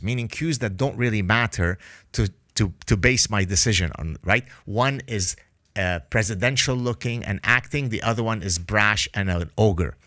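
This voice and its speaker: A man's voice: fairly low pitch, fairly bright tone, no echo, clean studio sound. A man whose first language is Arabic, a podcast guest explaining ideas emphatically with vivid analogies. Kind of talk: podcast discussion.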